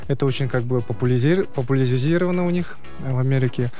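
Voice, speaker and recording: Male athlete guest for a casual radio interview, medium pitch, hesitant explainer, faint music underneath. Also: dark tone. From Kyrgyzstan. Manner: halting, explanatory, with many hesitations. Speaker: athlete guest, player of a traditional horseback sport.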